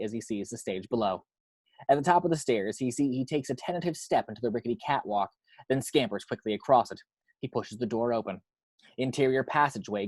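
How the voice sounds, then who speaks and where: A man narrating the action lines of a screenplay at a table read, in a measured, descriptive way with the odd stumble. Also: mid-range voice, darkish tone, no room sound, low-quality recording.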